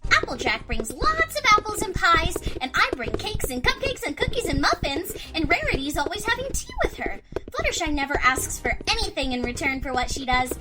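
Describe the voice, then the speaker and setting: A woman voicing a bubbly, excitable young cartoon pony with a gushing, chatty manner. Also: very high voice, fairly quick, poor audio. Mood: cheerfully gushing. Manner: gushing, enthusiastic, rambling. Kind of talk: fan audio drama acting.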